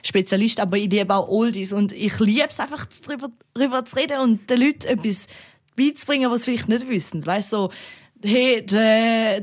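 A woman speaking with a St. Gallen Swiss German accent, an enthusiastic radio presenter chatting casually and laughing often on a podcast. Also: fairly high pitch.

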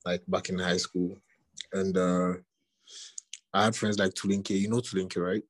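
Low flat-voiced Ghanaian young man. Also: a little slow, a little hiss or hum. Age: 20s. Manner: relaxed, repeats words and says "like" often. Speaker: musician guest. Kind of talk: casual interview chat.

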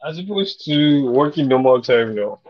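A man's voice: medium pitch, expressive, normal speed, a crowd in the background. A young man from Nigeria, a podcast guest with a stuffy head cold, joking and self-mocking. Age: young adult. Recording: dull lo-fi audio.

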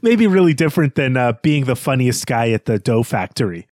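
A man hosting a podcast, talking analytically about literature in a halting, discursive way that circles toward his point. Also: medium pitch, animated, a little mellow, no echo, clean studio sound. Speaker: podcast host.

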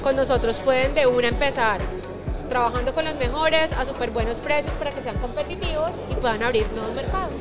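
A Colombian woman in her thirties with a high voice speaks as an interview guest. She talks in a chatty way and drops English business words into her speech. Clear music and crowd chatter sit behind her. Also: fairly quick. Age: 30s. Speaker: guest, startup founder. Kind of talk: casual interview talk.

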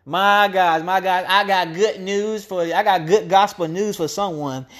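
A woman's voice: lowish voice, steady tone, moderate pace. A woman preacher delivering an impassioned, off-the-cuff sermon, repeating phrases emphatically to build up her point.

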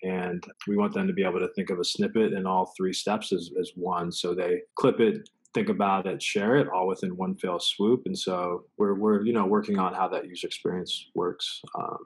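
A man's voice: low-pitched, level tone, moderate pace, few pauses, slightly rough, rough recording. A man explaining his work as an interview guest in a casual, rambling way, full of verbal tags. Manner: rambling, peppered with "you know" and "right". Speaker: product designer, interview guest.

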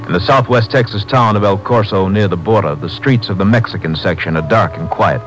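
A man's voice: lowish voice, a little fast, soft background music, poor audio. A man narrating a crime drama in scripted 1950s radio style. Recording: old 1950s radio broadcast recording.

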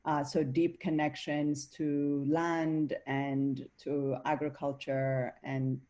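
Flat-voiced, mellow woman from Ethiopia. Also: low-pitched, takes it slowly, dull audio. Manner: thoughtful, many "uh" fillers. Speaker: guest. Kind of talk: reflective interview talk.

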